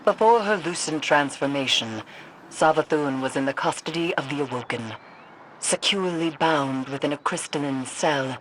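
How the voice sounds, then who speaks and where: A woman playing a grave, cryptic seer in a video game, speaking solemnly and deliberately with an ominous manner. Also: low-pitched, a little hiss or hum, natural voice, low-quality recording. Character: grave, cryptic female seer of dark magic. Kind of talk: video game character acting.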